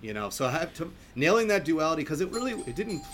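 A man's voice: a little high, very animated, fast talker, fairly bright tone. A man hosting a podcast, talking about film in a casual, effusive, rambling and informal way. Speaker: podcast host. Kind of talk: casual film discussion chat. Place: home, remote recording. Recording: clearly audible background noise.

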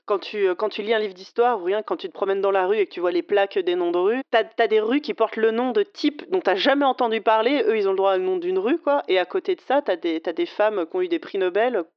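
A woman's voice: mid-range voice, fast talker, hardly any pauses, dry recording, lo-fi sound. A woman in casual conversation who talks chattily with frequent hesitations and laughs easily. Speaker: podcast co-host.

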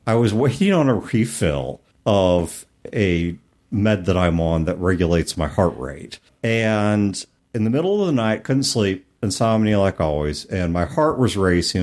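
Low-voiced man with animated delivery. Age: middle-aged. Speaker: podcast host. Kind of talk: casual podcast talk.

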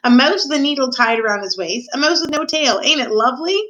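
A woman's voice: high-pitched, animated, fast talker, pushed and bright, muffled recording, a little hiss or hum, pitched above the performer's own voice. A woman voicing a hearty, big-hearted, blustering palace cook in a storybook, with folksy, emphatic speech. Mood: amused, conspiratorial. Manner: folksy, emphatic, colloquial speech with ain't and don't.

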